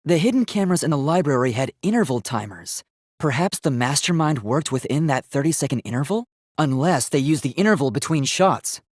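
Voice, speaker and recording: An earnest young robot student from a video game, with a fairly high male voice. He speaks precisely and politely, reasoning in formal, logical phrases. The recording is dry and close. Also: animated, brisk, no echo. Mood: analytical.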